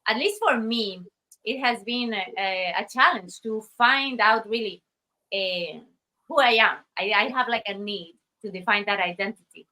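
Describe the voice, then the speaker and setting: Bright-voiced Costa Rican woman, thoughtful. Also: mid-range voice, normal speed. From Costa Rica. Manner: thoughtful, hesitant with many fillers and restarts. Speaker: guest artist. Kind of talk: interview conversation.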